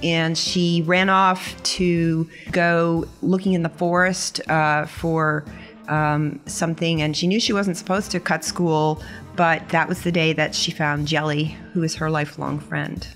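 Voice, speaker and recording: A woman's voice: low voice, level tone, a little slow, a bit bright, soft background music. A woman, a new tabletop game player, talking casually in a hesitant, careful way.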